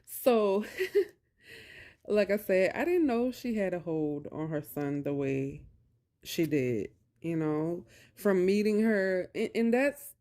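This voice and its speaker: A woman's voice: lowish voice, animated, slow, warm tone. A woman talking casually to viewers and telling a personal story in colloquial phrasing, emphatic and repeating phrases for stress. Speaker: video creator talking to viewers about narcissistic abuse.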